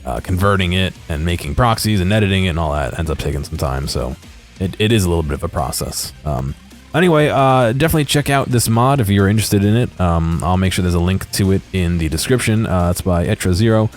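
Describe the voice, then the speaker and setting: A man giving unscripted commentary on video game footage in a hesitant, rambling, low-key way. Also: animated, fast talker, soft background music.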